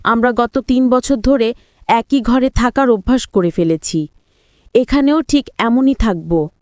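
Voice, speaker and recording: A stubborn young adult son in a family story, acted out by a woman narrator. He is insistent and speaks slowly, in a dry, close recording. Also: on the high side, darkish tone, dry recording, natural voice.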